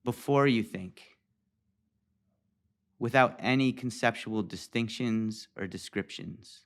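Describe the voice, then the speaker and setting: A man leading a guided meditation as a teacher, speaking in a calm, deliberate way with pauses. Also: on the low side.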